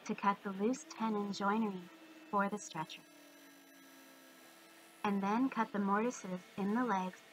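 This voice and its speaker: A woman's voice: mid-range voice, a bit bright, a little hiss or hum, poor audio. A woman giving scripted instructional narration for a woodworking video.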